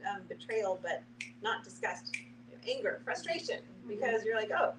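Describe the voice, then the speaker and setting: A woman's voice: medium pitch, animated, normal speed. Woman in casual discussion, distant and noisy. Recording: distant mic with clear background noise.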